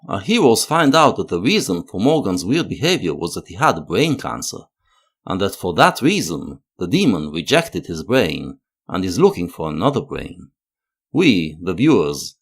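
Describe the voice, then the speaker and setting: A man narrating a scripted analytical video essay in a measured, expressive way. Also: sits low, breathy edge.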